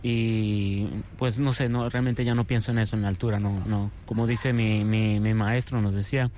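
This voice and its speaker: Casting: man, Venezuelan accent, lowish voice, relaxed interview guest who repeats himself, faint noise behind. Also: normal speed. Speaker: circus artist, interview guest. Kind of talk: casual conversational interview.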